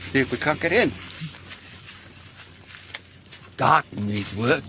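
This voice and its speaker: An actor playing an observant, brusque police inspector in a radio drama, with deliberate, clipped phrasing and a wry touch. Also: male voice, a little high, bright, forceful tone, a little hiss or hum, exaggerated voice. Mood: terse, probing. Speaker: lead actor and narrator. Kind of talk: radio drama acting and first-person narration.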